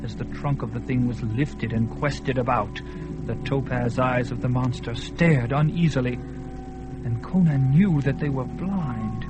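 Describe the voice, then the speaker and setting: A man's voice: mid-range voice, unhurried, loud music behind the voice, low-quality recording. A man narrating a fantasy radio drama with dramatic, swelling delivery. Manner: dramatic, swelling at peaks, hushed in quiet parts.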